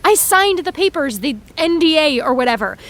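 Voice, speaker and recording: A woman voicing an anxious, guilt-ridden teenage girl in an audio drama, talking in a lively, emotional way. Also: high voice. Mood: fretful. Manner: lively, emotional, quick to protest.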